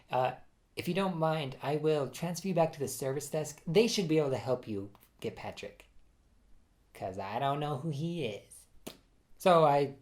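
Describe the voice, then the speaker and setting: A man casually telling a personal story in a chatty, self-deprecating way, often laughing at himself. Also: mid-range voice.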